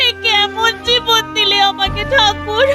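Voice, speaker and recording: A meek young orphan bride from a cartoon pleads in a very high, tearful voice. Music runs clearly underneath her. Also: level tone, unhurried. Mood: lamenting. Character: young orphan daughter-in-law, meek and sorrowful. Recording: cartoon dub over music.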